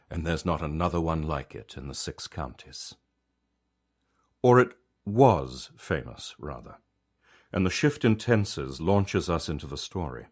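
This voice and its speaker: A man narrating a written story as its author, in a measured, reflective and conversational storyteller's manner. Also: sits low. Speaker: author reading own story.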